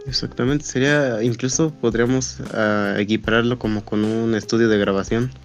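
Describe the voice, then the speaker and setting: A man's voice: medium pitch, steady tone, moderate pace, faint music behind. A young adult man with a Mexican accent, chatting casually as an interview guest about his hobby. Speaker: interview guest, amateur fandub voice actor.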